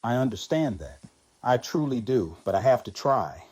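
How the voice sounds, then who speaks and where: A man playing a calm, firm pacifist in an audio drama, speaking in a measured, earnest way. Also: mid-range voice, husky, dry recording. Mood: wry, accepting.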